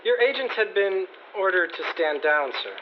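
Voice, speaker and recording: An actor playing a deferential young page who serves a prince, explaining things politely in a radio drama. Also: male voice, sits high, lively intonation, moderate pace, lo-fi sound, slight background noise. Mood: earnest. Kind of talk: rhyming verse radio drama.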